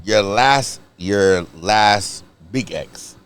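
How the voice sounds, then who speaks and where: A man's voice: fairly low pitch, very slow, a bit bright, clear, resonant tone. A man co-hosting a podcast, teasing and arguing in casual chat. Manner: teasing, argumentative. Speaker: podcast co-host.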